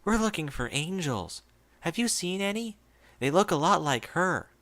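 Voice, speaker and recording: A man voicing a sweet, eerily innocent little filly, in a soft childlike voice. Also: sits high, very animated, moderate pace, pitched above the performer's own voice, heavily acted.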